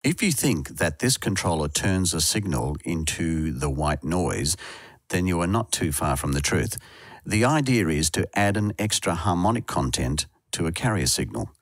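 A man's voice: sits low, expressive, moderate pace, no room sound, clean studio sound. A man reading scripted instructional narration for a tutorial as a voice-over. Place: close, dry voice-over recording.

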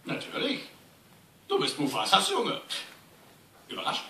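A man playing an eccentric, teasing old monkey shaman in a live radio play, riddling and excitable. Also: sits high, measured pace, vocal fry, full character voice. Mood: gleeful. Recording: echoey hall, distant handheld phone, noisy.

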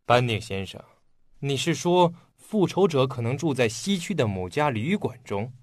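An actor playing an earnest, polite young police detective in a radio drama. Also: male voice, medium pitch, very animated, normal speed, dry recording, clean studio sound. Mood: grave, speculative.

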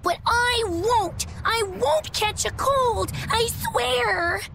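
Game role: a very high-voiced, stubborn monster child, slow drawn-out whine, clear noise behind. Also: female voice, lively intonation, heavily acted. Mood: stubborn, pouting. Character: whiny, stubborn little monster girl.

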